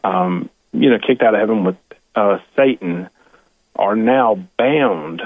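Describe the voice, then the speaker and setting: A man's voice: fairly low pitch, slow, no room sound. A man calling into a radio show, asking a question in a hesitant, rambling way with many fillers. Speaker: caller. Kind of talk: call-in question on the phone. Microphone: telephone. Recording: tinny dry phone line.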